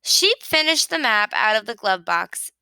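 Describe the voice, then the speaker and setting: A woman reading a children's picture book aloud with expressive narration. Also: medium pitch, unhurried, gravelly, clean tone, no echo. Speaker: storybook reader.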